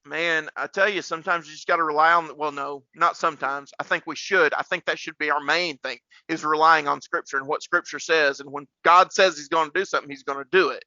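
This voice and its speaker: A man's voice: fairly high pitch, fairly even intonation, a little fast, hardly any pauses, bright, forceful tone, dry recording, highs cut off. A man hosting a casual faith podcast like a preacher, rambling and repeating words for emphasis.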